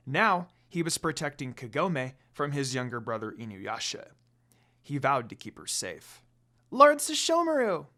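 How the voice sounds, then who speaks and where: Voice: medium pitch, fairly bright tone. A narrator reading a scripted fan fiction story aloud.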